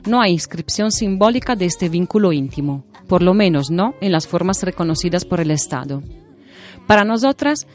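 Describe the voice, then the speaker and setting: Woman, slightly low voice, measured scripted reading, soft music behind.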